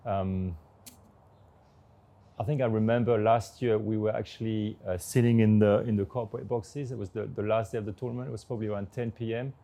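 Flat, mellow-voiced man, full of fillers. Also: lowish voice. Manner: hesitant, many fillers and restarts. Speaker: tennis tournament director. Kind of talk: interview answers.

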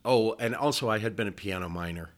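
This is a man in his sixties, reminiscing as a guest in an unhurried interview. His voice sits somewhat low, and he rambles, wandering off the subject and then circling back. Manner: rambling, digressive storyteller.

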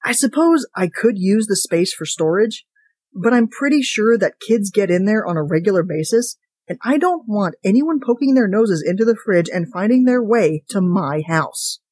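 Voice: medium pitch, animated, moderate pace, darkish tone, dry recording, voice pushed up, lightly acted. A podcast host reading a short story as a wry, put-upon ordinary narrator, in a sardonic, conversational monologue. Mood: resigned, confiding. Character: wry, chatty, put-upon ordinary fridge-portal owner.

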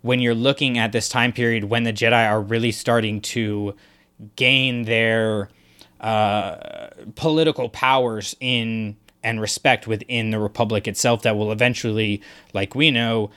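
A man's voice: fairly low pitch, level tone, measured pace, fairly bright tone, smooth. A man co-hosting a podcast, talking things through in a rambling, thoughtful way with fillers and restarts. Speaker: podcast co-host. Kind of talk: casual discussion podcast.

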